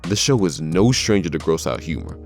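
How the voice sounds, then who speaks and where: A man's voice: rumbling low, animated, normal speed, faint music behind. A man reading scripted narration for a countdown video.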